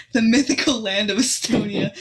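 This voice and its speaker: A woman's voice: a little high, slightly rough. A young woman from California, chatting playfully with friends on a voice call and laughing often. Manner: giggly, playful, teasing. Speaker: podcast co-host. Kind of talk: casual chat among friends. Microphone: own mic on a voice call.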